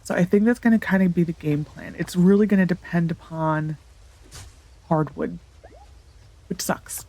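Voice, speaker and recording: A woman's voice: fairly low pitch, normal speed, pauses often, dark tone, rough recording. Congested woman rambling over gameplay. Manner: rambling, thinking aloud with many fillers. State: congested, nasal from allergies. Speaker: let's play gamer. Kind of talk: casual gameplay commentary.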